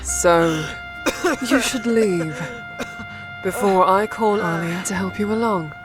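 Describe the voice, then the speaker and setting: An actress playing a commanding, coolly threatening woman in a cinematic audio drama, measured, deliberate and authoritative. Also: mid-range voice, over music, lightly acted. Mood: calm command.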